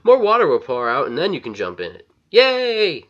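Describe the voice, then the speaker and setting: A man's voice: mid-range voice, wildly swooping pitch, quick pace. A man giving step-by-step instructions as the narrator of a video game walkthrough. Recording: slightly dull, lo-fi voice-over.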